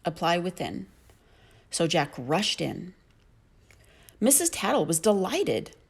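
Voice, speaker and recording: A woman narrating a children's story in an expressive storytelling manner. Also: fairly low pitch, slow pace, gravelly, breathy edge.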